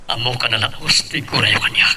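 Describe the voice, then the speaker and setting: A man's voice: medium pitch, fairly quick, bright, forceful tone. A man playing a remorseful father in an Ilocano audio drama, with heavy, regretful delivery. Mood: pleading. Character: remorseful father, burdened by guilt, asking forgiveness. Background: music.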